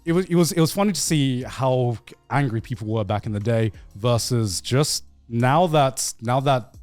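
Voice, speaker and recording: Animated, sweary man telling a story, dry sound. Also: mid-range voice, no room sound, soft background music. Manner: animated storytelling, profane, halting with repeats. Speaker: podcast host. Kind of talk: casual video game chat.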